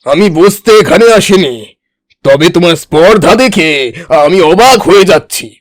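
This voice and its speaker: A self-important village landlord from a cartoon, acted by a man. The male voice is pitched well up and speaks with emphatic, theatrical weight. The recording is close and dry. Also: lively intonation, moderate pace, no echo. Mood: outraged. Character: proud village landlord uncle, genial but quick to outrage. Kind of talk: exaggerated cartoon dialogue.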